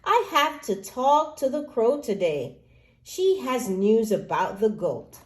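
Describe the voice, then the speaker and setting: A woman's voice: on the high side, big pitch swings, slow, a little hiss or hum. A woman voicing a self-absorbed, gossipy goose in a children's story, with a breezy, dramatic manner. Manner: dramatic, excuse-making, gossipy.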